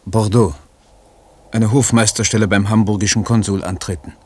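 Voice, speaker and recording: An actor playing an idealistic, troubled German poet, speaking in a solemn, declamatory radio drama manner. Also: male voice, on the low side, steady tone, normal speed, darkish tone, slightly rough. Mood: clipped, wary. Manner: solemn, declamatory, elevated diction. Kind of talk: literary radio drama monologue.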